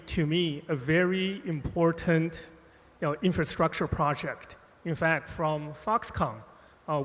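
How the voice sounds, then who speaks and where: A man speaking as a panelist, stumbling through answers with many filler phrases. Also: fairly high pitch. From born Taiwan, raised United States. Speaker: panelist, company strategist. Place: conference hall stage. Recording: conference hall reverb.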